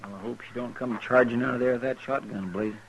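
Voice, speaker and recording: A man's voice: medium pitch, steady tone, quick pace, natural voice. A man acting a folksy, anxious, deferential sidekick in a 1950s radio western, with a homespun rural American drawl. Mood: uneasy, wry. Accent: rural American, Southern-style drawl. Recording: noisy old radio broadcast recording.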